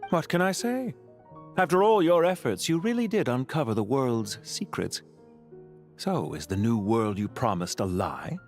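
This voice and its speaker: An actor playing an urbane, scheming banker villain in a video game, sardonic and politely calculating in a suave, measured way. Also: male voice, medium pitch, sing-song, soft background music. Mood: probing, sly. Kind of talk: video game character acting.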